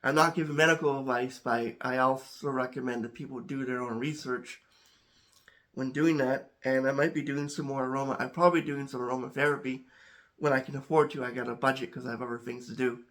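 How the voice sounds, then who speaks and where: A man hosting a home chemistry channel talks casually to camera, a little congested, halting with many restarts and repeated words. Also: mid-range voice, fairly even intonation, fairly bright tone.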